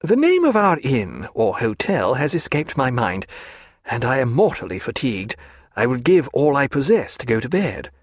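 A man's voice: medium pitch, huge pitch swings, normal speed, dry recording, clean studio sound. A man voicing a weary, courteous male naval doctor who speaks in a formal, precise way, in an audiobook.

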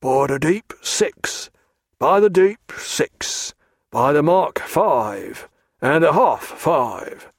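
A man's voice: fairly high pitch, lively intonation, drawn-out words, slightly rough, dry recording, clean studio sound, voice pushed up, full character voice. A man voicing a male sailor who chants depth soundings in a sing-song call. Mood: chanting.

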